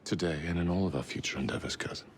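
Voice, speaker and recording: Very deep, imperious villain played by a man. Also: slight background noise, lightly acted. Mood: commanding. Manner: formal, measured, commanding. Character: menacing, imperious Victorian industrialist and secret-order leader. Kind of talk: dramatic video game acting.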